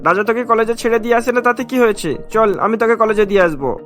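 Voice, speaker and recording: A man's voice: high-pitched, quick pace, a bit bright, faint music behind. A man voicing a dutiful, reassuring adult eldest son in a cartoon.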